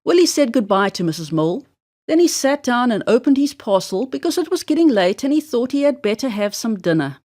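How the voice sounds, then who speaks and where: A woman reads a children's tale aloud. Her voice sits fairly high and moves up and down with lively intonation, and her delivery is deliberate and expressive. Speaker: storyteller. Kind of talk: children's story read-aloud narration.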